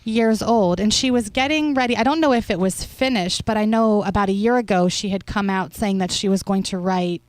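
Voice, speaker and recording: A woman's voice: medium pitch, brisk, few pauses, dry recording. A woman co-hosting a radio show, reading entertainment headlines and chatting about them casually. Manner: chatty, reads headlines then riffs. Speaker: radio co-host. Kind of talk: casual entertainment news chat.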